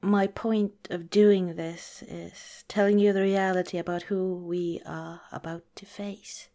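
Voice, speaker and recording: A woman acts out a protective female vampire girlfriend for ASMR. Her voice is fairly low and very slow, soft and slipping into whispers. She sounds earnest and careful, as if giving serious warnings to someone dear. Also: flat delivery. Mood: earnest, solemn. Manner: soft, deliberate, breathy whispering in places. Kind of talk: ASMR roleplay, partly whispered.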